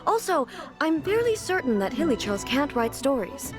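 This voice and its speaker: A woman's voice: sits high, sing-song, moderate pace. A woman voicing a stately female ruler-deity in a video game, formal and earnestly literal. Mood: perplexed. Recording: game audio with music behind.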